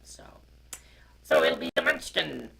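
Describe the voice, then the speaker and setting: Male storyteller and co-host, heavy creak and lively pitch, informal delivery over noisy live-stream sound. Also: measured pace. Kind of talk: informal chat and picture-book reading aloud.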